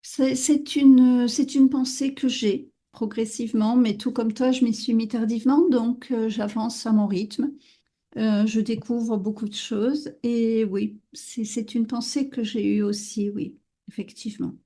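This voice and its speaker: A French woman hosting a podcast interview, speaking in a casual, thoughtful way with frequent pauses and fillers. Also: a little high, steady tone, mellow. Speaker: podcast host.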